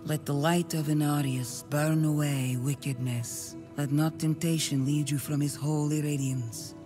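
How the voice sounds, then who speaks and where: Pious, commanding female cleric, slow solemn sermon delivery, game drama with faint music. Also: sits low, fairly even intonation. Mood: stern, devout. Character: stern, pious female Reverend Mother preaching against sin.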